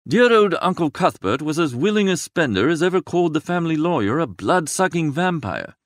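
A man's voice: a little high, no room sound, studio quality. A man playing a flippant, languid young upper-class idler, narrating a comic audiobook in a wordy, breezy, droll way.

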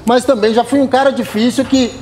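A man appears as a guest rapper on a casual panel talk, his voice pitched high. He talks in loose street slang, stumbling and restarting, with a faint noise bed under him. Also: steady tone, brisk, a little mellow. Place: community center room, seated on sofa with panel.

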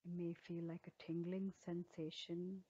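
A woman yoga teacher leading a guided healing meditation in a slow, soothing way with gentle pauses. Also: on the low side, level tone, slightly rough, dull audio.

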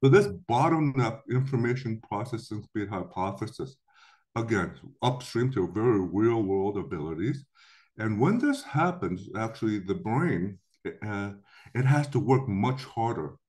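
Middle-aged man, from Korea, lecturing over webcam, animated pitch, explanatory, indoor room. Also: a little slow. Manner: lively explanatory delivery with acted-out quotes. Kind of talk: recorded slide lecture.

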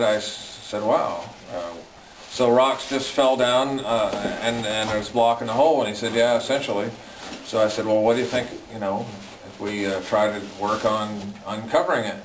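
A middle-aged man casually recounting an expedition as a cave explorer, in a halting, piecemeal way. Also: fairly low pitch. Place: inside a cave. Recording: echoey, distant, noisy camera sound.

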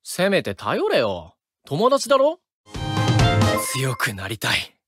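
An earnest, friendly teenage boy fighter for an anime game. He is blunt and heartfelt, with very animated intonation at an unhurried pace. Music plays clearly behind him. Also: mid-range voice. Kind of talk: Japanese anime game voice acting.

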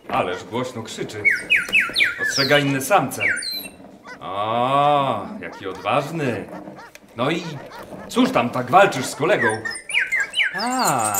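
An actor playing a dreamy, bird-obsessed Nazi scientist in a radio drama, speaking in a rapt, halting, excitable way. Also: male voice, mid-range voice. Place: live performance inside a wooden barn. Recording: echoey barn, some background noise.

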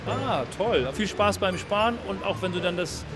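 A middle-aged man works as a street reporter. He interviews children in a friendly, encouraging way, outdoors on a city street with music clearly behind him. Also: high voice, normal speed. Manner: upbeat, encouraging. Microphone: handheld mic with windscreen. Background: music, street and traffic sounds.

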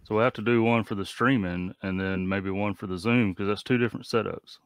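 A man's voice: on the low side, fairly even intonation, a little fast, smooth, no room sound. A middle-aged man from the United States talking casually as a livestreamer, close to a studio microphone. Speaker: amateur radio livestreamer. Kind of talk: casual rambling vlog narration. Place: indoor radio shack. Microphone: studio microphone on a boom.

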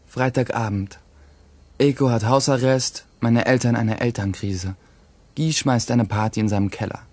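A man's voice: medium pitch, normal speed, a little mellow, lightly acted. A man playing a resentful, aloof teenage boy who narrates a radio drama in terse, sardonic sentences. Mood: detached, dry.

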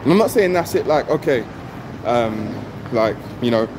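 A man's voice: mid-range voice, expressive, normal speed. A middle-aged man vlogging casually into a phone held at arm's length, repeating his points with emphasis and often asking "you know what I mean". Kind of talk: casual selfie vlog. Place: outdoors on a street by a shop, traffic around. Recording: loud street and car noise.

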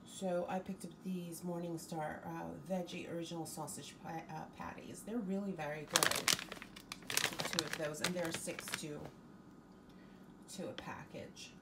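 A woman's voice: lowish voice, steady tone, unhurried, recorded from a distance, very noisy. A woman vlogger chatting casually about her groceries at home, rambling with ums and restarts. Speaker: fitness vlogger. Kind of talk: casual grocery haul chat. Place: home kitchen, indoors.

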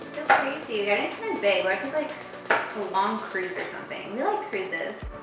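A woman's voice: mid-range voice, animated, brisk. Chatty woman in an echoey kitchen. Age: late 20s. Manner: chatty, bubbly, heavy use of "like". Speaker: lifestyle vlogger. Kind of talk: casual chat while cooking. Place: large home kitchen, indoors. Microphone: far camera mic. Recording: echoey kitchen from far off, background music.

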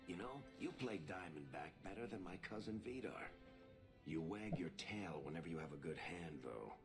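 Dwarf rogue from a game, really low voice, wry n teasing, music behind. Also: male voice, expressive, measured pace. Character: dry-witted male dwarf rogue, easygoing, teasing storyteller. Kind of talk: video game dialogue acting.

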